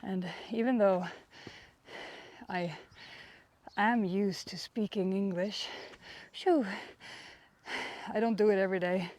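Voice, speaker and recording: Woman, Swedish accent, workout instructor, out of breath, chatty, warm mellow tone. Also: mid-range voice, fairly even intonation. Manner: chatty, pauses to search for words. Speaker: fitness instructor. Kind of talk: workout instruction while exercising. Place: outdoors.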